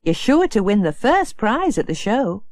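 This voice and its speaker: A man reading an audiobook, putting on a soft, raised voice for a gentle young mother. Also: high-pitched, big pitch swings, normal speed, no echo, pitched above the performer's own voice. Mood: cheerful.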